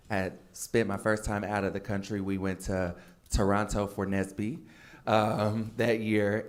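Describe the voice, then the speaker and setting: A man on a panel telling a story in a chatty way, with many fillers. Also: on the low side. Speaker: alumni panelist. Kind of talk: panel talk at a keynote.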